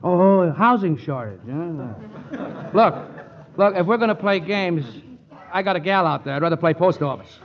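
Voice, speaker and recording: Wisecracking radio lawyer, high lively voice, old broadcast. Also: male voice, a little slow. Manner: breezy, wisecracking. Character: brash wisecracking male lawyer Malone. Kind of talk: 1940s radio comedy acting. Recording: dull old radio broadcast, faint hiss.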